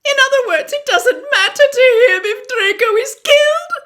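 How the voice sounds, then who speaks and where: A woman's voice: very high-pitched, expressive, normal speed, fairly bright tone, pitched above the performer's own voice. A woman voicing a desperate, tearful mother pleading for her son, as a character in an audiobook. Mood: frantic, desperate.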